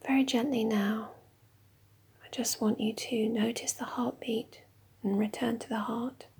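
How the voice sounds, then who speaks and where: A woman leading a guided meditation in a slow, soft, mostly whispered voice. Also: a little high, fairly even intonation, creaky, breathy edge.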